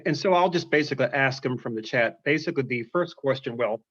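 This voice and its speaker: A man with an American accent asking questions on a video call, halting with many restarts and fillers. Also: mid-range voice, a bit bright, slightly rough, no echo. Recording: dull, dry video-call audio.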